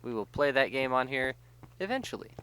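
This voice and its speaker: Male let's-play co-host, mid-pitched, rambling and playful, casual delivery, clearly noisy recording. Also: very animated, brisk, a bit bright. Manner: playful, rambling, breaks into mock singing and silly quotes. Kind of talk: casual group banter while gaming.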